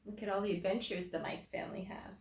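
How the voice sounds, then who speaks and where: A woman teacher reading a picture book aloud to children, explaining simply and deliberately and putting questions to her listeners. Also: mid-range voice, fairly even intonation. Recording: roomy echo, distant microphone.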